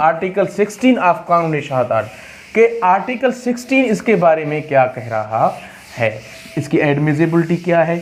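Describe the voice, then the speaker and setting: Slow, high-voiced Pakistani man teaching. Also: darkish tone. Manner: deliberate, repeats phrases, halting when reading out English. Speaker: law teacher. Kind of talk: explanatory lecture mixing Hindi-Urdu and English.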